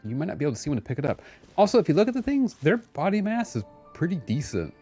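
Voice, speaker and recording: A man talks casually to his live audience while gaming. His voice sits a little high and moves around a lot in pitch, with a playful, exclamatory delivery. Faint game music plays behind him. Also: sing-song, rapid-fire, warm tone. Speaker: game streamer. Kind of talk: casual live gameplay chat with viewers.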